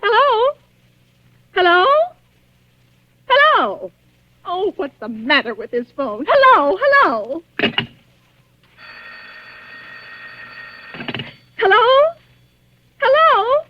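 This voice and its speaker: An actress playing a nervous, demanding, self-pitying bedridden wife in an old radio suspense drama, agitated and stammering. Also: female voice, very high-pitched, wildly swooping pitch, takes it slowly, long pauses, full character voice. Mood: distraught. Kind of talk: 1940s radio suspense acting. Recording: dull vintage radio recording.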